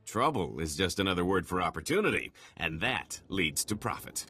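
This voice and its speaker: A man playing a slippery, boastful middle-aged fugitive con artist in a video game, talking in theatrical, wheedling salesman patter with a husky voice. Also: low-pitched, very animated, moderate pace, bright, forceful tone, faint music behind, exaggerated voice. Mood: pleading, persuasive.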